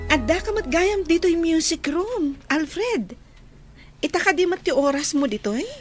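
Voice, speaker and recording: An actress as a young Filipino woman in love in a radio-style drama. Her voice sits high, and she is anxious about a rival. Faint music runs underneath. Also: expressive, moderate pace. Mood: cheerful. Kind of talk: Ilocano-language audio drama acting.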